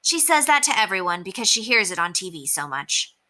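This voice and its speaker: A woman's voice: mid-range voice, very animated, brisk, sharp tone. A woman reading aloud voices an apologetic older schoolgirl in a fairly plain voice.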